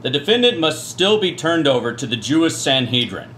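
A man playing a judge in a church radio play, slow and formal. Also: on the high side, sing-song, sharp tone, slight background noise.

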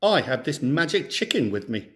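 A wily farmer from a storybook, acted out by a male reader. He is confident and theatrical, stressing his words with wide swings of pitch. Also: sing-song, moderate pace, natural voice. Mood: cheerfully cunning.

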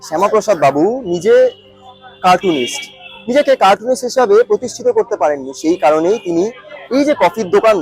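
A male reporter speaks in a high voice at a fast clip. He delivers a field news report with emphatic, repetitive explanations over music. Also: breathy edge, low-quality recording.

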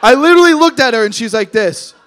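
Guy in his 30s doing crowd work at a comedy club, high voice, cocky teasing, swears a lot, handheld mic. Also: expressive, quick pace, fairly bright tone. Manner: brash, profane, teasing. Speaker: stand-up comedian. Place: comedy club stage before a seated audience. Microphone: handheld microphone.

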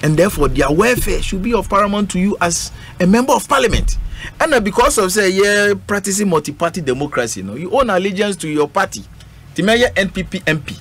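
A man's voice: high-pitched, big pitch swings, quick pace, fairly bright tone. Emphatic Ghanaian man over a noisy feed. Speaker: radio panel guest. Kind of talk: political panel discussion, code-switching. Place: indoor radio studio. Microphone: studio microphone on a stand. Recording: noisy live radio studio stream.

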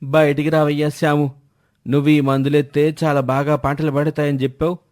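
A man's voice: on the high side, level tone, fairly quick, darkish tone, lightly acted. A man playing an angry village farmer, accusing and aggrieved, in a moral story. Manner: accusing, indignant.

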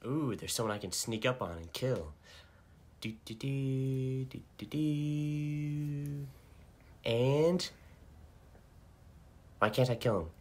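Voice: medium pitch, slow, pauses often, a little mellow. A person improvising a casual, joking, gleefully murderous soldier squad leader in a video game fan dub. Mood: eager.

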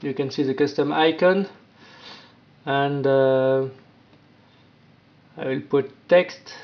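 A man presenting an informal step-by-step app tutorial, with halting, non-native phrasing. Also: medium pitch, steady tone. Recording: dull, lo-fi sound.

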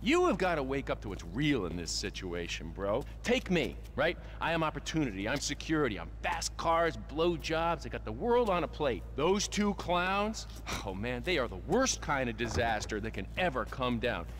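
A man's voice: mid-range voice, sing-song, normal speed, few pauses, fairly bright tone, a little hiss or hum. An actor playing a brash, boastful rich wheeler-dealer in a video game, with a smug, slangy salesman's manner. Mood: boastful, preachy. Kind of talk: video game cutscene acting.

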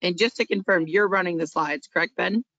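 A woman hosting and introducing a webinar over a video call, friendly and brisk. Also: lowish voice, fairly bright tone, no echo. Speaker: webinar host. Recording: dry, slightly dull video-call audio.

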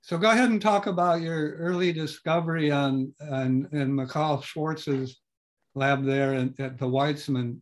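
An older man with a somewhat high voice hosts an interview from a home office over a video call. He asks his questions slowly, pausing and restarting as he searches for words. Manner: slow, searching for words while asking questions. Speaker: podcast host.

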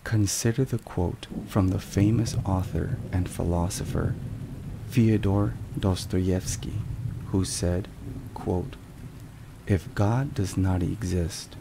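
A man giving a scripted, solemn ASMR devotional narration in a soft, measured and deliberate way. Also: low voice, vocal fry. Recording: steady rain and thunderstorm noise. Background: rain and thunder.

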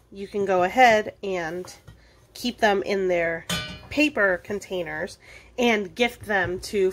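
A woman hosting an informal step-by-step cooking tutorial, explaining casually as she works with her hands at a kitchen counter. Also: medium pitch. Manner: casual, explanatory, talking while working with hands. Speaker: cooking demonstrator, host of a library cooking show.

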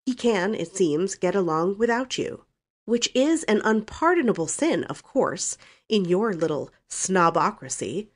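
A woman voicing a brazen, sharp-tongued young woman in a storybook, speaking boldly and emphatically. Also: mid-range voice, very animated, a little slow, pitched above the performer's own voice. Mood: defiant, scornful. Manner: bold, emphatic.

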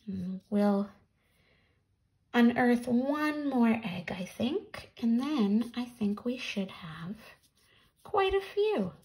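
A woman in her thirties, speaking deliberately as a teacher presenting read-alouds and crafts for children, recorded in a small room at home. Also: a little high, very animated, darkish tone, rough recording.

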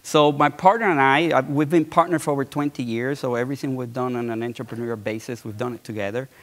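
A man's voice: mid-range voice, fairly even intonation, fast. A man in his forties from Puerto Rico, speaking as a guest on a conference stage, talking conversationally with many restarts and repeated words. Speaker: guest entrepreneur. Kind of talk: conference fireside chat. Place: large auditorium stage.

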